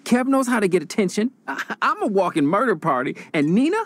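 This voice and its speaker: A cocky, reckless young would-be crime boss in a video game cutscene. His pitch moves around a lot as he talks. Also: big pitch swings, fairly quick, a bit bright, no echo, heavily acted. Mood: confident, rallying.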